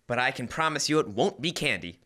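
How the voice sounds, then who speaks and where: A young man playing an earnest, fussy husband in an old-time radio comedy, with hesitant, deliberate comic timing. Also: medium pitch, lively intonation, sharp tone. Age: teens to young adult. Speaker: student actor. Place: school library, indoors, live stage reading. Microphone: stand microphone.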